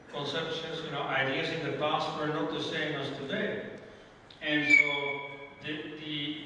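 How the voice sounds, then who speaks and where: A man's voice: mid-range voice, sharp tone, a little hiss or hum. Dutch-native man answering questions in a hall. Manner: measured, frequent "you know", dismissive asides. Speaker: guest speaker answering audience questions. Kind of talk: lecture Q&A.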